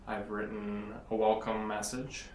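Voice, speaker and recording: Young man, flat low voice, echoey room. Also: measured pace. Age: 20s. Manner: earnest, rambling, searching for words. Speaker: interviewee, blogger. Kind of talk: casual interview. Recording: echoey room from a distance, faint noise.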